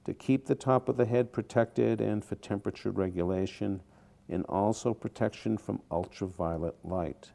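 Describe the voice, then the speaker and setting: A man teaching a guided meditation in short, deliberate phrases with pauses between them. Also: lowish voice, creaky, warm tone.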